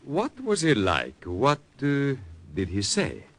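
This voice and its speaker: A genial older Italian nobleman with a thick Italian accent, warmly hospitable and rambling. His pitch rises and falls freely, and he pauses on fillers. It is a 1940s radio drama. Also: wildly swooping pitch, no echo, heavily acted. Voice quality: expansive, hesitant with fillers, drops into Italian. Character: jovial older Italian count, hospitable host.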